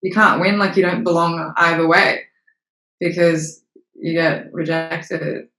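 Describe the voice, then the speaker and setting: Frank actress in a roomy space. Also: female voice, on the low side, steady tone, a bit bright. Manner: frank, halting, many fillers and restarts, occasional swearing. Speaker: actress, interview guest. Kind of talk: candid conversational interview. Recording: roomy echo.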